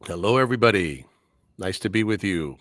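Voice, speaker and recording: Deep-voiced articulate man, careful diction. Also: expressive, fairly quick, a bit bright, no room sound. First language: Spanish. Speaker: English teacher and guest. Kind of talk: casual podcast interview.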